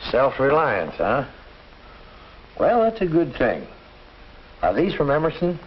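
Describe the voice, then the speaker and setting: A man's voice: medium pitch, huge pitch swings, slow pace, husky, full character voice. A middle-aged actor playing a probing, advising father in a 1950s instructional film. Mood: curious, approving. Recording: muffled old film soundtrack, faint noise.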